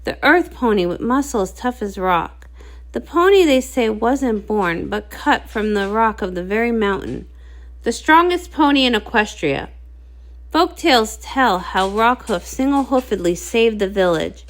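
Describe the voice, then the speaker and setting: A woman reading a scripted storybook aloud as an expressive narrator. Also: fairly high pitch, unhurried. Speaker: read-along narrator.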